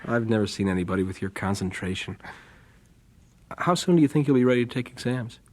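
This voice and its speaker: An actor playing a good-humored, earnest young missionary husband in a radio drama, with an American accent and a gentle, slightly bashful manner. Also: male voice, mid-range voice, expressive, fairly quick, natural voice.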